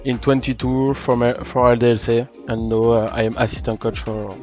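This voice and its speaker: Flat-toned man in his thirties. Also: mid-range voice, moderate pace, soft background music. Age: 30s. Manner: non-native English phrasing. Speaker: esports assistant coach. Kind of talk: casual self-introduction and Q&A.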